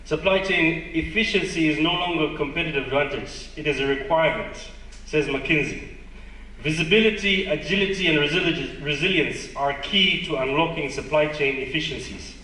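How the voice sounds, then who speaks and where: A man's voice: on the high side, moderate pace, bright, forceful tone, a little hiss or hum. A man with a Malawian accent giving a conversational, self-deprecating conference presentation and putting questions to the audience. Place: conference hall. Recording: echoey hall, distant mic.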